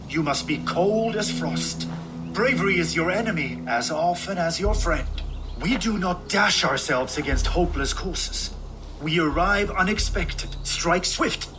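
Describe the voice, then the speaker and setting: An actor playing a hot-tempered seasoned Viking warlord in a video game, commanding and emphatic. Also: male voice, high voice. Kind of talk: dramatic video game acting. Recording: noisy game ambience behind.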